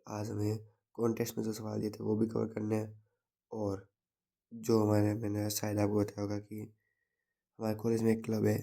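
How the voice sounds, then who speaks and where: A young man with a slightly sore throat, talking through his day as a student in an unhurried, reflective vlog. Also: lowish voice, vocal fry, dark tone. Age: young adult. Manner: unhurried, reflective, mixes English words into the talk. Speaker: engineering student vlogger. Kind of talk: casual daily vlog recap.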